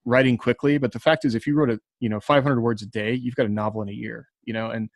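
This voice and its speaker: A man's voice: mid-range voice, fairly even intonation, quick pace, dry recording. Earnest man in casual panel talk, dry sound. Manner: earnest, encouraging. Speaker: science fiction writer on a podcast panel. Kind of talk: casual panel talk giving writing advice.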